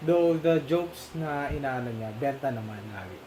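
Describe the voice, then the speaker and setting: Filipino male co-host, mid-pitched, halting casual chat, noisy recording. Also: lively intonation, dark tone. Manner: halting, many restarts and fillers, laughs often. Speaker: movie discussion co-host. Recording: noisy background.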